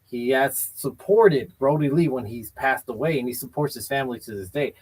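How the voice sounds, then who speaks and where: A man hosts a laid-back wrestling talk show, his voice sitting right in the middle of the range. He argues his points bluntly and with strong opinions. He keeps softening them with "I feel like" as he goes. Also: medium pitch, normal speed, slightly rough, low-quality recording. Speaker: podcast host. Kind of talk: casual wrestling chat show.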